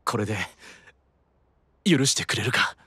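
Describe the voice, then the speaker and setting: A man's voice: mid-range voice, animated, measured pace, sharp tone, husky, dry recording, clean studio sound, heavily acted. A man playing a proud, earnest, worn-out demon brother with a stiff, dramatic delivery in a Japanese anime-style audio drama. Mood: proud, hopeful.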